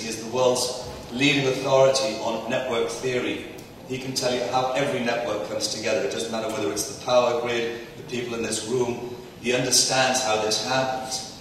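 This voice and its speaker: A man giving a loud, energetic conference talk, with frequent ums and uhs. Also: medium pitch, a little hiss or hum. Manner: loud, energetic presenter with fillers. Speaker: conference speaker. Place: large conference room. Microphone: distant, mic trouble. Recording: echoey hall from far off.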